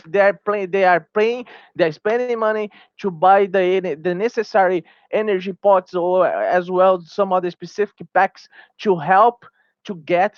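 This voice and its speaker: A man's voice: high-pitched, no echo. Man, Portuguese native speaker, gaming vlogger, heated and repetitive, tired, dry sound, slightly dull audio. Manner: heated, repeats himself, many restarts. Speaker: small gaming YouTuber. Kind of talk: opinion vlog commentary.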